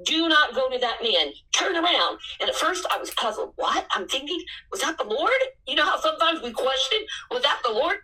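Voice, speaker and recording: A woman in her sixties, a missionary, telling her story in an earnest way that bursts into excitement at times. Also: high-pitched, brisk, few pauses, recorded from a distance, rough recording. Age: 60s.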